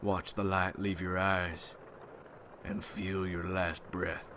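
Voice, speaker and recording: Man playing a low, slow, gruff vampire hunter. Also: little pitch movement, bright, forceful tone, full character voice. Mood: grim. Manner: folksy, brooding, rough-spoken. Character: gruff, ruthless, wary male vampire hunter guild leader. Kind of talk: ASMR roleplay acting. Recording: noisy ambience behind the voice.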